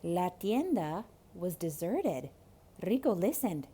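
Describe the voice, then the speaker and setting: A woman narrating a children's story as a theatrical read-aloud, dramatic and expressive. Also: lowish voice, takes it slowly, a little hiss or hum.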